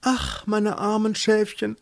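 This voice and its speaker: Mann spielt hochnäsige, hoch tönende Päpstin. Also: male voice, sits high, wildly swooping pitch, creaky, pitched above the performer's own voice, heavily acted. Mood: lofty pity. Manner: stately, condescending, drawn-out. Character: haughty fisherman's wife turned female pope, grandiose, restless.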